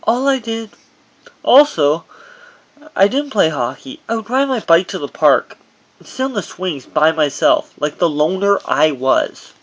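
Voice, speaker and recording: A man reading his own first-person memoir as audiobook narration, in a halting delivery that stumbles over words. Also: fairly high pitch, animated.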